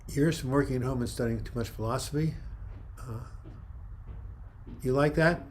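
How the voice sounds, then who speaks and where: An older man hosting a casual live chat, reading viewer comments in a calm, soft-spoken way with frequent restarts. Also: mid-range voice, moderate pace, slight background noise. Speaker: livestream host.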